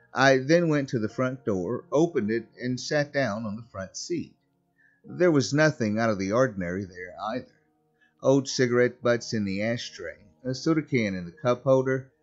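A man's voice: medium pitch, dull audio. A man narrating a scripted first-person horror story as a storyteller. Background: background music.

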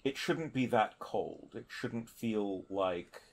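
A man narrating a tabletop game as a player, improvising in halting, deliberate phrases. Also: fairly low pitch, animated, creaky.

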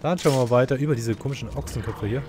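A man's voice: mid-range voice, very fast. A man giving casual, sarcastic gameplay commentary, grumbling and talking half to himself. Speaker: let's play host. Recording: clear game noise behind the voice.

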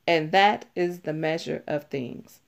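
A woman reading a children's picture book aloud, deliberately, stressing key words as she teaches. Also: deep voice, creaky. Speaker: read-aloud narrator.

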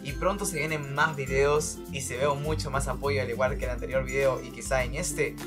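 A young man on a headset, excitedly calling out plays while gaming with a teammate, repeating words rapidly. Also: medium pitch, over loud music. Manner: rapid repeated callouts, cheering. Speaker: gaming streamer. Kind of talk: excited co-op gameplay commentary. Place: indoors at a computer.

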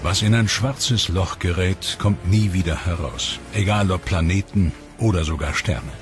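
Male doc narrator, deep voice, calm explanatory read, music underneath. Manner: measured, explanatory. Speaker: documentary narrator. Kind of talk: scripted documentary narration. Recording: voice-over with music bed. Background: clear music.

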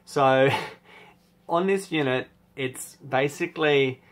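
A man in his thirties talks to a camera in a small room at home, reviewing gear in a loose, candid way. He restarts often and fills gaps with "uh" and "like." His voice sits at an ordinary middle pitch. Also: takes it slowly. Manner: chatty, rambling, many restarts and fillers, candid. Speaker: motorcycle vlogger reviewing gear. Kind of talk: casual product review to camera.

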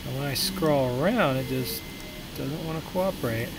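A young man talks his way through his work on a live stream, his speech loose and full of fillers as he thinks aloud. His voice sits at an ordinary middle pitch. A clear hum of air conditioning runs under him in a small home room. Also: moderate pace. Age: young adult. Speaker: streamer modeling a prop. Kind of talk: casual live-stream commentary while working.

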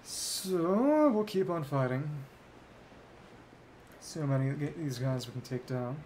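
A man's voice: fairly high pitch, big pitch swings, slow pace, a little mellow, a little hiss or hum, poor audio. A man streaming a video game, giving casual live commentary in short fragments, muttered to himself.

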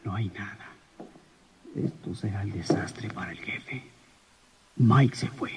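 A man's voice: lowish voice, slow, in a whisper. An actor playing a nervous gangster henchman who mutters agitatedly to himself in an old radio serial. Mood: fearful, brooding. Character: nervous gangster henchman, fearful of his boss. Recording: noisy old radio drama recording.

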